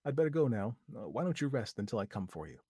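A man's voice: mid-range voice, very animated, quick pace, mellow, husky, no room sound, studio quality. A man reading aloud voices a bossy, scornful young woman who snaps and talks vulgar when angry. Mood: brisk.

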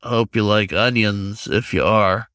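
A man's voice: lowish voice, fairly even intonation, measured pace, fairly bright tone, no echo, clean studio sound. A man voicing a wry talking crow in a story, with a blunt, insistent manner.

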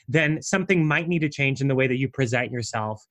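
A man who coaches people on branding, explaining his method in a conversational interview and speaking in an animated way with frequent casual asides. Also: medium pitch, fairly quick.

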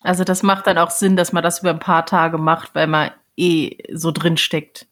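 A woman's voice: deep voice, flat delivery, moderate pace, fairly bright tone. A woman co-hosting a casual interview, commenting and putting questions to a guest in a conversational way. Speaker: podcast host.

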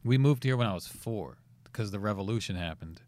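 Forties guy, comedian guest, low-ish voice, laid back and matter of fact, dry sound. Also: expressive, fairly quick, darkish tone, dry recording. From United States. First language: Farsi. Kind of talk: casual chat.

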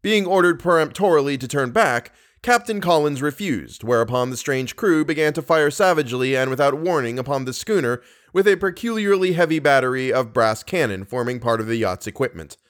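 Guy doing a story podcast, mid-pitched voice, presenting and reading old horror fiction. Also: expressive, a little fast, few pauses. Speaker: podcast host and story reader. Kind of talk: casual podcast introduction and audiobook-style narration of a horror story.

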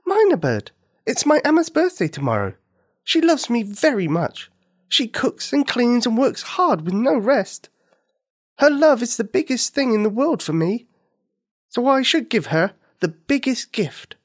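A woman voicing a loving, earnest little girl in a children's story, with a high, pleading childlike voice. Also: sing-song, unhurried.

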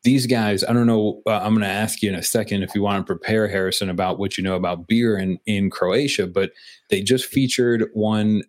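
A fairly low-voiced man talks casually on a podcast. He rambles and restarts his sentences, leaning on fillers as he goes. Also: steady tone, fairly quick. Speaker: podcast co-host. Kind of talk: casual beer podcast chat.

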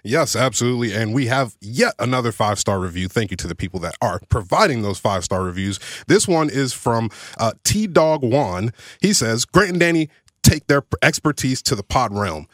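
A man's voice: medium pitch, very animated, moderate pace, fairly bright tone, no echo, studio quality. A man speaking as a sports podcast producer, casual and conversational, sometimes reading aloud.

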